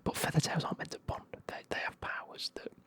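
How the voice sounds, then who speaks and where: A person with a British accent whispering a casual, chatty, sweary retelling of a book in a breathy ASMR style. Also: fast talker, pushed and bright, dry recording.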